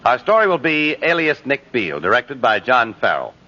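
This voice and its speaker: A man's voice: medium pitch, very animated, moderate pace, sharp tone. An American man doing polished, formal 1950s radio announcing. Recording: muffled vintage radio recording.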